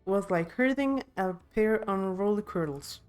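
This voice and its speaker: A woman's voice: medium pitch, animated, unhurried, a little mellow, clear, resonant tone, soft background music, close to an everyday voice. Woman reading a wry, composed game companion. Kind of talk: voicing unvoiced game dialogue.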